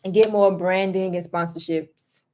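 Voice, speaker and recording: A woman who makes online content, speaking as a podcast guest in a relaxed, casual way and using "like" often as filler. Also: fairly low pitch, normal speed, a little hiss or hum. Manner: relaxed, leans heavily on "like" as filler.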